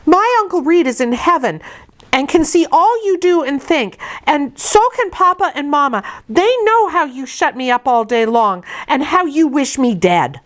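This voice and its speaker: Lady reader doing an indignant little girl from a storybook, higher voice, passionate and accusing. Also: animated, moderate pace. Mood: defiant. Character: defiant, indignant orphan girl of ten, passionate. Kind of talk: storybook character dialogue.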